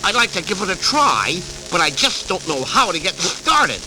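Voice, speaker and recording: A man's voice: high voice, very animated, normal speed, low-quality recording. A man voicing a grumpy, scheming cartoon cat with a slobbery lisp and blustery delivery on a vintage children's record. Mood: sly, doubtful. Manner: blustery, exclamatory. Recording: vintage 78rpm record with music.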